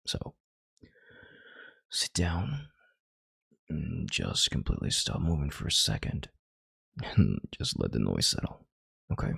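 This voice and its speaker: Commanding, calculating male CEO played by a man for intimate audio roleplay: rock-bottom pitch, very husky, measured and authoritative. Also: wildly swooping pitch, dry recording, clean studio sound, full character voice. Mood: firm, calming. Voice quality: very deep, gravelly, husky voice. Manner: authoritative, measured, halting, sighing and hushing.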